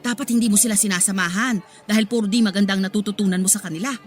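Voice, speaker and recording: A woman's voice: mid-range voice, fairly even intonation, rapid-fire, close to an everyday voice. Filipino woman acting a scolding market-vendor mother, music behind. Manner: exclaiming, pleading, motherly scolding. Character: hardworking, proud, scolding single mother vegetable vendor. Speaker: radio drama actor. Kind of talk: Filipino radio drama acting.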